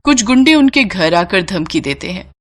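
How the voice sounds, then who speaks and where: A woman narrating a scripted moral story in an expressive storyteller's style. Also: medium pitch, normal speed, a little hiss or hum.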